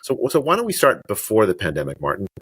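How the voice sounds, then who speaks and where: A man's voice: fairly low pitch, huge pitch swings, fairly bright tone, slightly rough, no room sound. A man in his fifties hosting a podcast interview over a video call from a home study, talking with frequent restarts and fillers. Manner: halting, many restarts and fillers. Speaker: podcast host. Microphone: webcam on video call.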